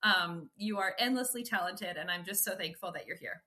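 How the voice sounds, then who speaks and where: A woman's voice: fairly low pitch, a little fast, sharp tone. A woman in her forties hosting a podcast interview, talking in an enthusiastic, effusive way. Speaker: podcast host.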